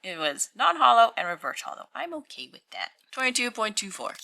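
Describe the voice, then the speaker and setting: A woman with a croaky morning voice, casually talking to herself while unboxing at a tabletop and reading out numbers. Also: on the high side, big pitch swings, bright, forceful tone. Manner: slow, musing, reads out weights. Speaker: channel host opening card packs. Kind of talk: casual hands-only unboxing commentary. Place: indoors at a tabletop.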